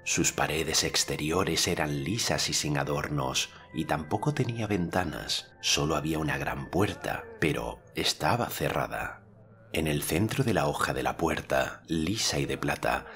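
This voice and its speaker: Deep voiced guy reading a fantasy audiobook, calm and measured. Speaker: audiobook narrator. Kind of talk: scripted audiobook narration.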